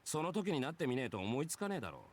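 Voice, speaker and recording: A lazy blunt warrior dude from a visual novel, talks fast n rough, pitch all over. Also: male voice, very animated, a little mellow. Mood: indifferent. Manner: rough, listless, offhand speech. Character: lazy, brusque, free-spirited warrior man. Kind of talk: Japanese visual novel voice acting.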